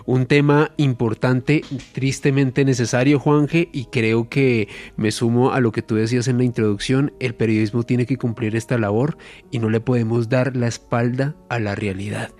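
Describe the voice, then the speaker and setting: A man with a mid-pitched voice, co-hosting a radio podcast. He speaks formally and with emphasis as he greets the listeners and sets up the topic. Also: steady tone, normal speed, a little mellow. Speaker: radio co-host. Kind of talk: podcast co-host remarks.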